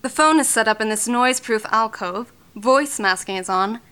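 Woman playing a high-voiced, efficient assistant. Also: background noise, natural voice. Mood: composed, efficient. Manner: brisk, businesslike, deferential. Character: efficient, loyal female assistant to a vampire boss. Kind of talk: audio drama acting.

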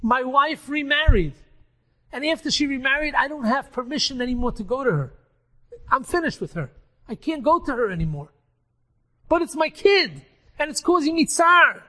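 A man voicing the spirit of a departed rabbi who speaks earnestly and explains gently. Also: extremely high pitch, expressive, a little fast, exaggerated voice, poor audio. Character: departed male rabbi, calm and wise, appearing in a dream.

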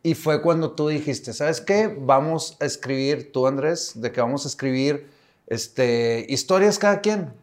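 A man, co-hosting a podcast in a casual chat, talking in a hesitant, halting way. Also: on the high side. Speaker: podcast co-host. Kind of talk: casual chat among friends.

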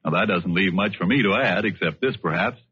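A man's voice: low-pitched, fairly quick, sharp tone, slightly rough, no echo. A man working as a radio announcer, reading a 1940s advertisement with polished, emphatic salesmanship. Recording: muffled, narrow old 1940s radio recording.

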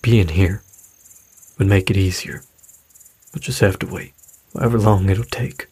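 An actor playing a troubled, frustrated middle-aged father in a naturalistic audio drama, speaking haltingly and downcast. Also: sits low, steady tone, a little mellow, husky, dry recording. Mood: weary, pleading. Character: weary, troubled, frustrated widowed father of a teenager.

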